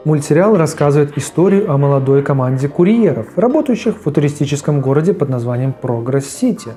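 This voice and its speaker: A man's voice: fairly high pitch, lively intonation, fairly quick, warm tone, faint music behind. A man giving scripted video narration as host, mixed with his own personal commentary on old cartoons.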